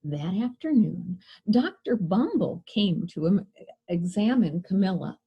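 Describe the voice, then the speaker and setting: A woman hosting a storytime, reading a picture book aloud to young children in an expressive, deliberate way and addressing them directly. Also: fairly low pitch, creaky, husky, highs cut off. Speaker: children's librarian, storytime host.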